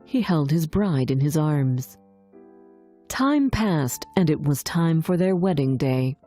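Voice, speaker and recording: Lively, mellow woman storyteller. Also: sits low, takes it slowly, faint music behind. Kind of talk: scripted fairy tale narration for language learners.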